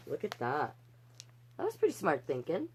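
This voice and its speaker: A woman hosting a livestreamed storytime, reading picture books in an animated way with chatty, encouraging asides to viewers. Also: deep voice, moderate pace, a little hiss or hum. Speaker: storytime host and reader.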